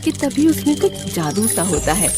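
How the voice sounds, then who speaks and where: A woman's voice: mid-range voice, big pitch swings, normal speed. Woman narrating a cartoon tale over music. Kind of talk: scripted storybook narration for a cartoon.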